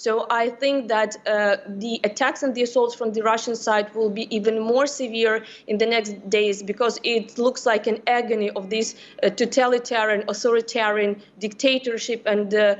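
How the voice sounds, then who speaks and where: A woman's voice: on the high side, fairly even intonation, a little fast, hardly any pauses. A young adult Ukrainian woman answering as an expert guest in a news interview over a video call, earnest and emphatic with many fillers. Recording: dull, lo-fi call audio.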